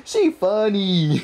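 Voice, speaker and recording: A woman's voice: on the high side, mellow, husky. A woman giving casual, slangy, playful gameplay commentary as a streamer. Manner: slangy, playful, laughs often. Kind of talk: casual game reaction commentary. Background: game music.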